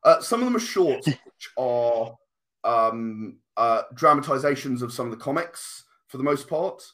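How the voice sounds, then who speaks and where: A man's voice: mid-range voice, lively intonation, measured pace, a bit bright. A man speaking as an interview guest, thoughtfully explaining his creative work at length in conversation. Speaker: comic and game writer, interview guest.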